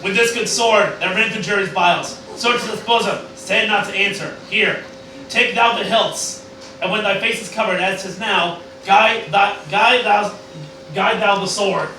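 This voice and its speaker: Man acting despairing old scientist, Shakespearean radio parody, halting declamation, very echoey, distant noisy mic. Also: high-pitched. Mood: solemn, commanding. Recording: very echoey, distant microphone, loud noise.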